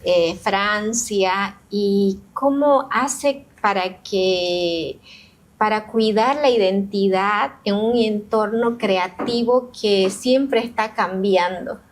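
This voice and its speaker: Woman interviewer, unhurried, short supportive replies, conversational. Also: medium pitch, rough recording. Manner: brief supportive back-channel remarks.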